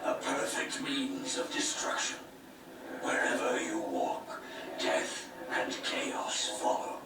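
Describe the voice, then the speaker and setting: An actor playing a cold, ancient wraith king in a video game, speaking in breathy, slow, ominous and grandiose pronouncements. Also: lightly acted. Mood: accusing. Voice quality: breathy, whispery. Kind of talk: dramatic video game cutscene acting. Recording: echoey, distant, noisy game audio playback.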